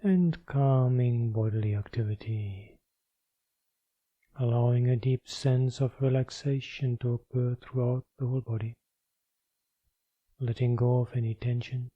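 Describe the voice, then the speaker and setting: A man leading a guided meditation as a teacher, speaking in measured, deliberate phrases of gentle instruction. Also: mid-range voice, steady tone, no room sound, dull audio.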